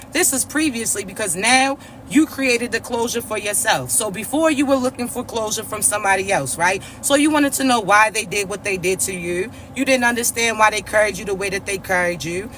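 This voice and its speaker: A man with a raspy, congested voice, giving a spiritual reading to his viewers in a slow, repetitive preaching way, often checking in with his listeners. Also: high voice, sharp tone, a little hiss or hum. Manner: slow, repetitive preaching with frequent "all right?" check-ins. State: congested, stuffy nose. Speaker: spiritual reader and coach.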